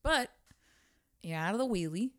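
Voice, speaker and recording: A woman co-hosting a podcast with a mid-pitched voice that moves up and down a lot. She teases her co-host bluntly and swears freely in relaxed chat. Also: moderate pace. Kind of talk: casual chat.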